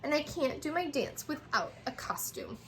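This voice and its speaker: A woman voicing an excitable, pleading young girl mouse who loves to dance, in a storybook reading. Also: high voice, normal speed, voice pushed up, full character voice. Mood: upset.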